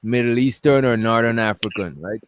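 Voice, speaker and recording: A man's voice: medium pitch, brisk, noisy background. A man hosting a casual discussion stream, talking loosely and rambling while he pulls up things on screen. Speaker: stream host.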